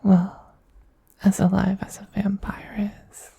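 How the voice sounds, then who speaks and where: Man as a whispering, breathy, doting vampire queen. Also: high voice, monotone, mellow, heavily acted. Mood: tender, gently teasing. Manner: slow, tender, coaxing endearments. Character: soothing, possessive, doting femboy vampire queen. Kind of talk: soft-spoken whispered ASMR roleplay.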